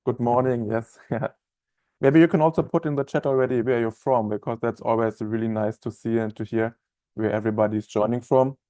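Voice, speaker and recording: A man in his thirties with a German accent, chatting as a trainer in a live online software webinar, with many fillers and restarts. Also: mid-range voice, steady tone, fairly quick, no room sound. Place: office room, indoors.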